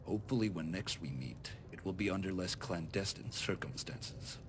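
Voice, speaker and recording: Man voicing a deep, gravelly scheming nobleman. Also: animated, a little hiss or hum. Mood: cool, resolute. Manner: formal, measured, composed. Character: scheming illegitimate nobleman, calculating, resentful, self-made. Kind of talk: scripted video game dialogue.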